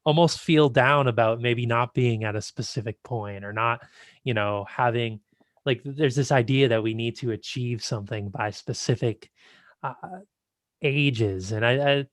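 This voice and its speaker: A man of twenty-five hosts a casual podcast chat, agreeable and loose with his words. His voice sits at an ordinary middle pitch. The sound is dry and close. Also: measured pace, no room sound. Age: 20s. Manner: easygoing, agreeable, loose with fillers. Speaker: podcast host.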